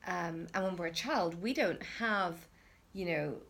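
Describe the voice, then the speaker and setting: A woman's voice: lowish voice, a little slow, vocal fry, a bit bright, a little hiss or hum. A middle-aged woman hosting a reflective conversation, talking hesitantly with many restarts and fillers. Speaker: interview host.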